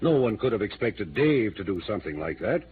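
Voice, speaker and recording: An actor playing a weary, earnest city mayor in a vintage radio crime drama, speaking in a measured, formal and careful way. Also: male voice, on the low side, big pitch swings. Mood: earnest, reasoning. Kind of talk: 1950s radio drama acting. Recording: tinny, noisy old radio recording.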